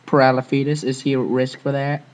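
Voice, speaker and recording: A man's voice: mid-range voice, flat delivery, moderate pace, close to an everyday voice. A man voicing a sassy, clueless, worried wife on a prank phone call, repeating questions in slangy speech. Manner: sassy, repetitive, slangy, playing dumb. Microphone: telephone.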